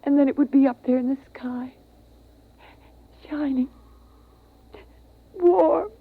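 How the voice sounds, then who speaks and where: High, fragile, yearning American mother. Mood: dreamy, longing. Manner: halting, dreamy, tremulous. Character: fragile, yearning, distraught mother lost in memories. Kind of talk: 1950s radio drama acting. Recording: muffled, tinny vintage radio recording.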